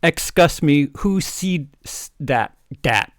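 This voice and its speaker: A man acting out angry story characters from fan fiction in an exaggerated, loud, mock-dramatic way. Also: fairly high pitch, animated, slow, no room sound, studio quality. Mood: suspicious, demanding.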